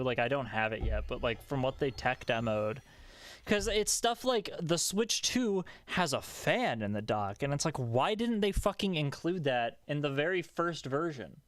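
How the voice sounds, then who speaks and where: A young man streaming a game, chatting casually with a calm, relaxing voice, rambling loosely and swearing often. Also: a little high. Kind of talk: casual gaming stream chat.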